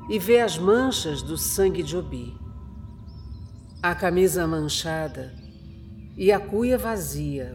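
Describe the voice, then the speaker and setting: Male narrator for horror radio drama: unhurried, measured, theatrical delivery, music underneath. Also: a little high.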